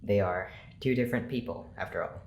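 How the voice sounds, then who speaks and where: A man's voice: fairly low pitch, brisk, creaky. A man playing a stern, weary scientist in an audio drama, speaking in a formal, clipped, exasperated way. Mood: clinical, controlled. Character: stern, weary male scientist running an experiment. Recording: echoey room with faint noise.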